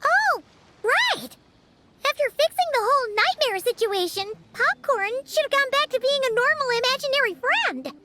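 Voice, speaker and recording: A woman voicing a small, excitable, childlike fairy companion in a video game, chatty and exclamatory. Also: extremely high pitch, moderate pace. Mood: inquisitive, chatty. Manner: exclamatory, refers to herself in third person. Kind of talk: video game cutscene acting. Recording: music playing behind the voice.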